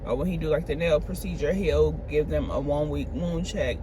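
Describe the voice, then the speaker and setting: A woman's voice: low voice, normal speed. A woman vlogging while driving to work, chatting casually with lots of ums and restarts. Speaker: medical assistant vlogger. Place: inside a moving car. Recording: loud car road noise. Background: car and road noise.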